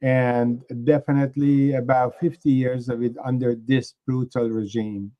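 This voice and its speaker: A man in his sixties with an Iranian accent, answering interview questions in a measured, hesitant way as a guest. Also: mid-range voice, flat delivery, lo-fi sound. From Iran. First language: Persian. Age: 60s. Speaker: guest, engineering professor and activist.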